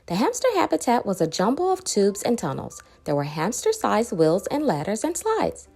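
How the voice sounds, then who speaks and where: Animated woman reading a children's storybook. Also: medium pitch, moderate pace, creaky, soft background music. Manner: animated, dramatic, varied emphasis. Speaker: children's book reader. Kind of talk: expressive storybook read-aloud.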